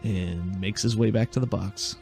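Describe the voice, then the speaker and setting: Low-voiced man game master, music behind. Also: steady tone, normal speed, creaky, a little mellow. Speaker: game master and podcast host. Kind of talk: tabletop game narration, conversational.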